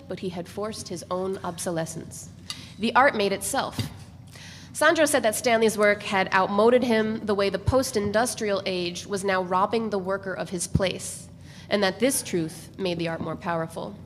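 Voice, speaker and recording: A woman's voice: medium pitch, a bit bright. A woman narrating a literary novel in a scripted dramatic reading. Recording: reverberant hall with background noise.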